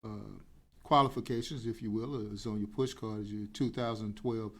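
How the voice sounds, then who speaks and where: A man's voice: on the low side, steady tone, moderate pace, a bit bright. A man hosting a radio interview, asking playful, teasing questions. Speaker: radio show host.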